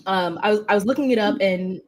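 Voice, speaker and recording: A woman with a medium-pitched voice hosts a casual interview at a brisk pace. She is chatty and informal, often doubling back and repeating a phrase before moving on. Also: a little hiss or hum. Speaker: interview host.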